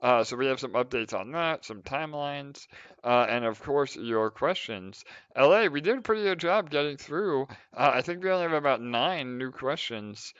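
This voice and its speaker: A man's voice: mid-range voice, lively intonation, normal speed, fairly bright tone, clear, resonant tone, no echo. A man hosting a casual livestream Q&A, talking with many filler sounds. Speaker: team lead and host. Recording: slightly dull, dry audio.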